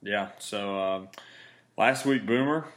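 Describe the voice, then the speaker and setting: Male podcast host, low and raspy, easygoing. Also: slow, fairly bright tone. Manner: laid-back, rambling. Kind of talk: casual podcast chat.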